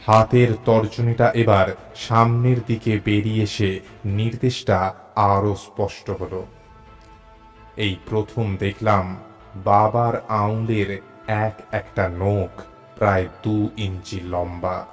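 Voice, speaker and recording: Slow, measured male storyteller over music. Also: on the low side, fairly even intonation. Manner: measured, deliberate, suspenseful delivery with pauses. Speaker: narrator of a suspense audio story. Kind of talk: dramatic first-person story narration. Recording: narration over clear background music.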